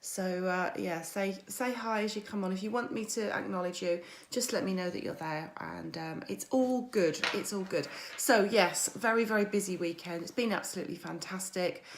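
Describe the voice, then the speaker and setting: Croaky-voiced woman coach on a livestream. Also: medium pitch, moderate pace, hardly any pauses, slight background noise. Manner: chatty, greets viewers by name. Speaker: mindset coach. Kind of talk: weekly social media livestream.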